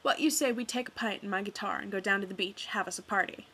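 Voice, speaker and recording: A woman's voice: medium pitch, quick pace, fairly bright tone, slight background noise, lightly acted. Woman putting on a drawling old bluesman. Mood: coaxing. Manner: drawling dialect, coaxing.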